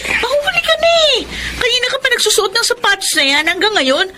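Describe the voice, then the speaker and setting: A woman's voice: very high voice, big pitch swings, fast, pushed and bright, a little hiss or hum, full character voice. A woman with a Filipino accent playing a bossy but caring eldest sister in a radio drama, with a nagging manner. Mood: scolding. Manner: nagging, scolding. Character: Minerva, bossy caring eldest sister raising two younger siblings.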